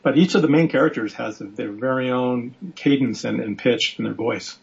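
A man who is a guest author on a podcast, telling his story briskly in casual chat with plenty of fillers. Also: medium pitch, vocal fry. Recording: dull lo-fi audio.

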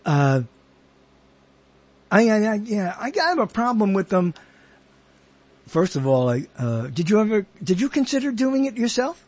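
Halting, high-voiced man with a husky edge. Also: very animated, a little mellow. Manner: halting, rambling, repeats himself. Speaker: interviewer, host. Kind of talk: conversational interview.

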